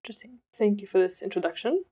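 A woman hosting a conversational interview podcast, putting questions to her guest in a calm way. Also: medium pitch, rapid-fire, breathy edge. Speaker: podcast host.

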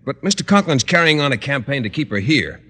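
A man's voice: mid-range voice, sing-song, fast, bright, forceful tone. An actor playing a bashful, prim, earnest male schoolteacher with hesitant, proper delivery in an old-time radio comedy. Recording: noisy old radio recording, reverberant hall.

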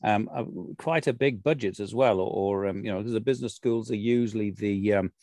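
A man's voice: on the low side, brisk, a little mellow, dry recording, clean studio sound. A man with a Welsh accent interviewing a guest in a chatty, meandering way with lots of fillers. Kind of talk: conversational interview.

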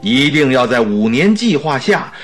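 An eager, excitable doctor in a radio play, the detective's talkative sidekick. His medium-pitched voice rises and falls broadly in theatrical exclamations, with music behind it. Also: normal speed. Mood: excited, jovial. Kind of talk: Mandarin radio drama acting.

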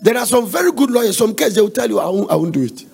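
A Ghanaian man preaches in a very gravelly, husky voice pitched fairly high. He is emphatic, repeating phrases for weight and slipping into conversational asides and questions to his listeners. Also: animated, racing, darkish tone. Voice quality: raspy, gravelly. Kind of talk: sermon with questions to the congregation.

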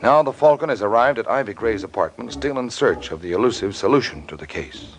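A man's voice: on the low side, lively intonation, fairly quick. A man reading scripted narration for a 1950s radio detective drama. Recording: old radio recording over clear music. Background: music.

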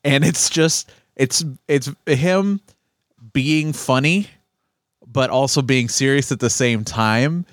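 A man's voice: slow, no room sound, clean studio sound. A man co-hosting a casual movie podcast, often giving short agreeing replies. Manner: short agreeing replies, lively bouncing pitch. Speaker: podcast co-host.

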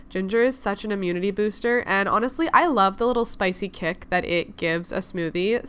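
A woman hosting a podcast in a chatty, upbeat way. Her voice sounds a little congested, yet it stays smooth and clear. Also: mid-range voice, a bit bright. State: congested, recovering from lost voice. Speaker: podcast host. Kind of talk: casual podcast chat.

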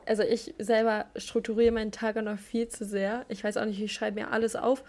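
Young woman podcast host, chatty and slangy, kinda high voice. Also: little pitch movement, fairly quick, a little mellow. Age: young adult. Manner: chatty, uses youth slang. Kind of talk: casual chat.

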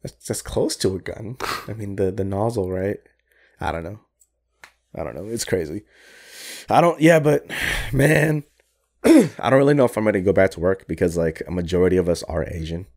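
Young man, low voice, animated, swears a lot, jokey podcast banter. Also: moderate pace, vocal fry. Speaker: podcast co-host. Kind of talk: casual chat.

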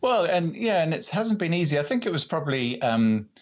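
A man's voice: a little high, sing-song, fairly quick. A man, answering interview questions in a hesitant way, full of restarts and fillers. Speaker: renewable energy executive.

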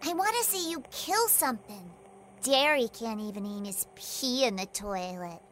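A woman's voice: fairly high pitch, expressive, moderate pace, fairly bright tone, a little hiss or hum. A woman playing a feisty, scornful little girl with a boisterous, taunting manner in an audio story. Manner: boisterous, taunting, childlike delivery. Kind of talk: audio short story character acting.

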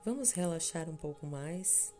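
A Brazilian woman guides a meditation in a low, mellow voice. She speaks slowly and soothingly, leaving long pauses between short, measured phrases. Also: expressive. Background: background music.

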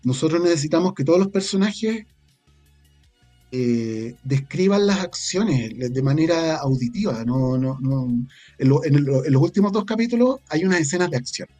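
A Chilean man speaks as a guest in a relaxed interview, reflective and full of colloquial turns. His voice sits a little high and has a soft, mellow tone. Faint music plays behind him. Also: moderate pace. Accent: Chilean Spanish. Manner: reflective, colloquial, frequent slang tags. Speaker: guest, audio drama creator and scriptwriter. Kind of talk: casual interview conversation.

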